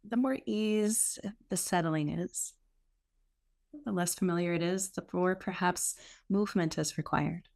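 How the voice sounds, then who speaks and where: A woman leading a guided meditation as a teacher, speaking in an unhurried, gently instructive way with pauses. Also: on the low side, gravelly.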